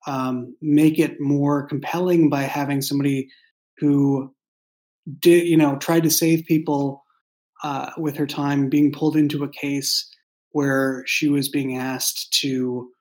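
Man, halting, with narrow pitch range. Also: level tone, darkish tone. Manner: halting, searching for words. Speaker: podcast guest, writer and creator. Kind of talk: casual, thoughtful interview talk.

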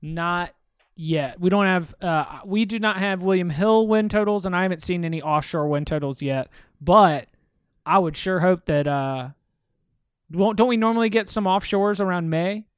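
A man's voice: high-pitched, normal speed, clear, resonant tone, dry recording, studio quality. A man hosting a casual sports talk podcast, thinking aloud with lots of restarts. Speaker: podcast host.

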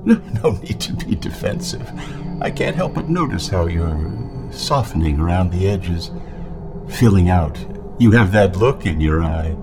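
An actor playing a creepy, menacing male town elder who speaks in a slow, insinuating way. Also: low voice, lively intonation, over music.

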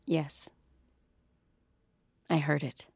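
A woman's voice: low voice, little pitch movement, extremely slow, creaky, darkish tone, breathy edge, faint music behind, exaggerated voice. An actress playing a suspicious, coolly confrontational woman on a crew, direct and pointed, in an audio drama. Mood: guarded.

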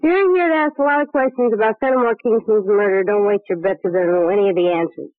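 A woman's voice: mid-range voice, fast talker, no echo, lightly acted. A woman playing a brassy, cynical showgirl in a vintage radio crime play. She is defiant and wisecracking, full of slangy sarcasm. The recording is narrow and phone-like, very dry in its acoustics. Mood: flippant, defiant. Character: brassy, sardonic jilted showgirl, defiant under questioning. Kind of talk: old-time radio crime drama acting.

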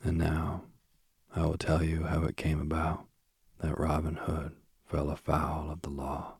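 A man hosting a sleep podcast and reading a bedtime story softly, slowly and soothingly, while he is losing his voice. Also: very deep voice, monotone, creaky, slightly rough, no echo, studio quality. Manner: slow, gentle and soothing. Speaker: sleep podcast host and reader. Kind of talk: soft bedtime story narration. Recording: faint music behind.

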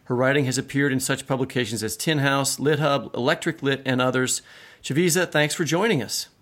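A man's voice: medium pitch, fairly quick, a bit bright. A man co-hosting a podcast, reading a scripted introduction. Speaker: podcast co-host.

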